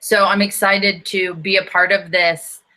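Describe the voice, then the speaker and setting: Bright-voiced American woman, chatty. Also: medium pitch, flat delivery, unhurried, poor audio. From United States. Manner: chatty, self-deprecating, says "like" often. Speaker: co-host. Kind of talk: casual chat.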